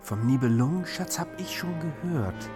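An actor playing an insecure, easily led king in a fantasy radio drama, husky, affable and self-doubting. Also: a little high, animated, moderate pace, over music, lightly acted. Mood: doubtful curiosity.

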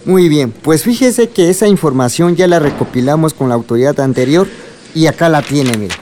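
A man's voice: fairly high pitch, expressive, moderate pace, warm tone, slight background noise, lightly acted. A man playing an earnest, courteous village land commissioner formally addressing an assembly in a radio drama. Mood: earnest, practical. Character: earnest male village communal lands commissioner chairing an assembly.

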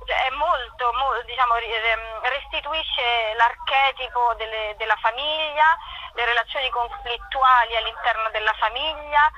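A woman's voice: fairly high pitch, unhurried, bright, forceful tone, lo-fi sound. A woman being interviewed as a playwright, explaining spontaneously, searching for words and repeating them for emphasis.

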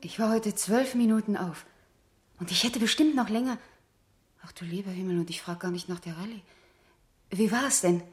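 Actress as a solicitous woman convalescing, medium pitch. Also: normal speed, long pauses, faint music behind, close to an everyday voice. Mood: attentive, tentative. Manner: solicitous, gently questioning. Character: woman convalescing in hospital, concerned, gently questioning. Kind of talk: naturalistic 1970s German radio crime drama acting.

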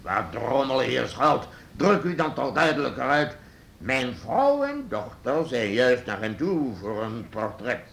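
A self-important burgomaster, acted by a man, who snaps and lectures in a gravelly, lively voice. The recording is roomy, with faint hiss in the background, like an old radio play. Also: mid-range voice, unhurried, exaggerated voice. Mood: pompous. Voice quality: pompous, testy. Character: pompous irritable male burgomaster. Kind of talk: radio drama acting.

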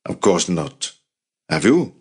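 A man voicing a low, determined resistance fighter. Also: sing-song, takes it slowly, a little mellow, husky, full character voice. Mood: impassioned. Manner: earnest, blunt, persuasive.

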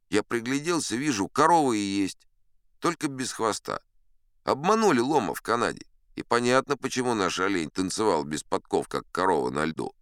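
Audiobook drama: self-assured old captain narrator, wry raconteur, smooth resonant voice, close dry sound. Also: male voice, low-pitched, a bit bright, no room sound, full character voice. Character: old sea captain spinning tall tales, colourful and self-assured. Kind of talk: theatrical first-person audiobook storytelling.

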